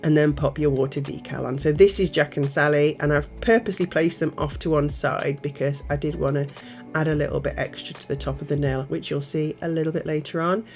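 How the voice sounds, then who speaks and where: A woman's voice: deep voice, steady tone, fairly quick, a little mellow, faint music behind. A woman with a nasal voice from a cold, hosting a nail art tutorial in a chatty way with giggly asides. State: has a cold. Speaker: nail art channel host. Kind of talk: casual tutorial narration.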